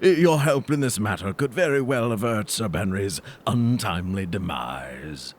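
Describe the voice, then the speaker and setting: A man playing an earnest, fearful doctor in a comedic audio drama, speaking in a halting, solemn, melodramatic way. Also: on the low side, animated, slight background noise.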